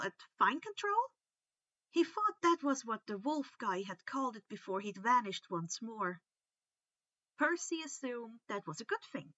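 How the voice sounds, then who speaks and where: A woman narrating a story in an expressive, animated audiobook style, stressing casual asides. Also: high voice, no echo, dull audio. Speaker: reader of fan fiction for online listeners.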